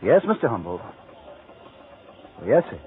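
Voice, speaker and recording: Wisecracking American coworker, tinny old radio. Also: male voice, mid-range voice, big pitch swings, a little slow. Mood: chummy. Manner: casual, wisecracking, slangy. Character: joshing, gossipy male department store coworker. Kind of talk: 1940s radio acting. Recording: tinny, hissy vintage radio recording.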